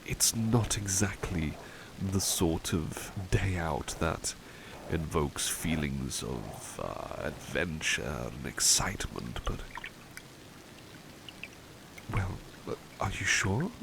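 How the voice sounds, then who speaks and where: A man playing a devoted, polite butler who paints, chatting softly and hesitantly to the listener in an ASMR roleplay. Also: very deep voice, lively intonation, slow pace, creaky, noisy background, full character voice. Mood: tentative. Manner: hesitant, courteous, old-fashioned wording, gentle. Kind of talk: ASMR roleplay, soft intimate chat to listener.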